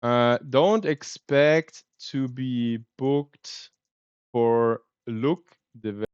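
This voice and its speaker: Slow, smooth-voiced man with a German accent. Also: mid-range voice, darkish tone, no echo, dull audio. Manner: rambling, thinks aloud with many fillers. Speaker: streamer. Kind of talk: casual livestream chat.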